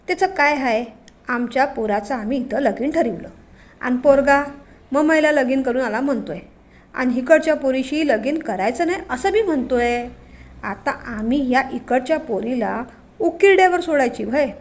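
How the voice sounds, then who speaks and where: A woman doing a loud scheming village chief. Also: high voice, lively intonation, normal speed. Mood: commanding. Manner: loud, commanding, mocking. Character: domineering older male village chief, scheming.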